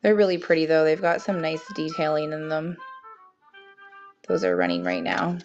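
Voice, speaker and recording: A woman's voice: low-pitched, very animated, moderate pace, darkish tone. A young woman with a cold and a tired voice, chatting casually as she runs a live auction and greets viewers by name. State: has a cold, voice wearing thin. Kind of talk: live-stream selling chat. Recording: dull lo-fi stream with faint music.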